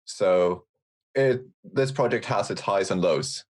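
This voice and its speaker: Deep-voiced man chatting. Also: takes it slowly, a little mellow. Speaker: podcast guest. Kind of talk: casual podcast chat.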